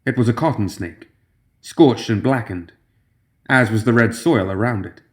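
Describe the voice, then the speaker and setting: A man's voice: medium pitch, normal speed. A man reading scripted fantasy fiction as a dramatic audiobook narrator.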